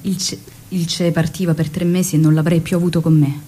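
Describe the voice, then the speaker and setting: An actress playing a revolutionary woman in love, recalling her story in a measured, confiding, emotional stage monologue. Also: lowish voice, level tone, dark tone, faint music behind, lightly acted. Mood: longing. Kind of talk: dramatic first-person stage monologue.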